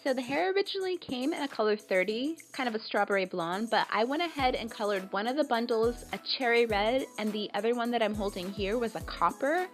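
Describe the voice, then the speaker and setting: A woman giving casual voice-over narration for a hair tutorial, sounding slightly raspy and tired from a cold, explaining in a relaxed, chatty way. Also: on the high side, a little fast, hardly any pauses. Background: background music.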